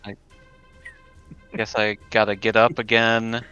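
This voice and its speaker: A man with a lowish, forceful voice plays a tabletop roleplaying game. He talks at a slow pace in casual table chat, with light music in the background. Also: little pitch movement, dull audio.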